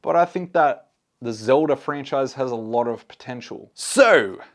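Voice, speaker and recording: A man's voice: mid-range voice, expressive, unhurried. A man hosting a casual movie review, speaking conversationally and giving his opinions to his viewers. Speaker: host, film reviewer.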